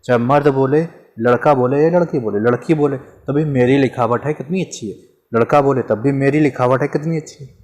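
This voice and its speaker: Male teacher, mid pitch, chatty lesson delivery with rhetorical questions and lots of repetition. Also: brisk, darkish tone. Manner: conversational, repeats examples, asks and answers himself. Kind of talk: informal grammar lesson.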